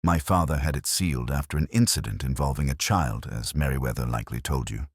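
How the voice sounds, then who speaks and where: A man's voice: a bass voice, a little fast, husky, dry recording, clean studio sound. A man voicing a thin, uneasy aristocratic landowner in an audiobook, formal and guarded.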